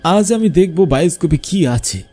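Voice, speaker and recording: Cartoon horror narrator: male actor as anxious village showman, mellow mid-range voice, dramatic delivery, faint music underneath. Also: a little high, lively intonation, moderate pace, close to an everyday voice. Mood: haunted, tense. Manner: dramatic storytelling. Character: worried, frightened village bioscope showman telling his story. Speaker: narrator and main character. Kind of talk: scripted first-person horror cartoon narration.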